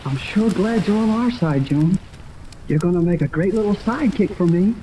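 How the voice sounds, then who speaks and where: An eager, good-natured teenage boy played in a woman's register in a vintage radio adventure. The delivery is breezy, slangy and exclamatory, with clear hiss over the recording. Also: fairly low pitch, lively intonation, moderate pace, warm tone, lightly acted. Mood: teasing, flustered. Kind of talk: 1930s radio serial acting. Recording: noisy, hissing 1930s radio recording.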